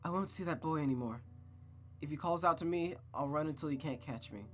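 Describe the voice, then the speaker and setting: A man's voice: a little high, fairly quick, lightly acted. Timid young daughter acted by a man, visual novel. Meek, hesitant, obedient, faint music underneath. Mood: meek, tentative.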